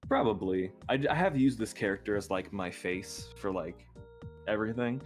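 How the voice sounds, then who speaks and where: Lowish guy chatting on stream, kinda rambly and unsure, faint music behind him. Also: animated, normal speed. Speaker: streamer guest and voice actor. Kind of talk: casual livestream chat.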